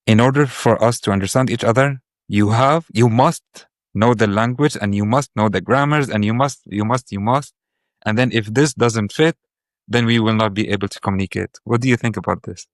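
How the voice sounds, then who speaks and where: Man, Arabic native speaker, podcast host, low voice, dry close sound, thoughtful, searching, pausing. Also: no room sound. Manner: thoughtful and searching, with pauses and repeated words. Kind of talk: reflective interview questions.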